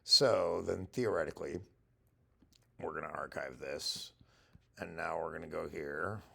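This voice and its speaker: A man with a gravelly, husky voice, casually walking through a software demo and thinking aloud as he goes. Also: extremely low pitch, a little slow. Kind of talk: casual screen-share product walkthrough.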